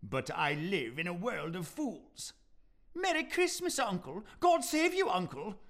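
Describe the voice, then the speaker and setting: A man's voice: high voice, big pitch swings, measured pace, a bit bright, full character voice. A man playing a bitter, scornful old miser in a theatrical radio play, scoffing and mocking with explosive outbursts. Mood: angry, mocking.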